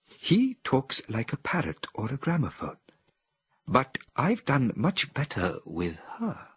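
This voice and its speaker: A man's voice: medium pitch, slow, slightly rough, dry recording, voice pushed up, exaggerated voice. A man voicing a male chimpanzee scientist who speaks with a mysterious air, in an audiobook reading. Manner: mysterious, calm.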